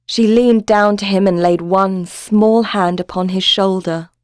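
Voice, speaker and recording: A woman narrating a scripted story in a measured, literary and dramatic manner. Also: mid-range voice, steady tone, darkish tone, clear, resonant tone, dry recording, clean studio sound.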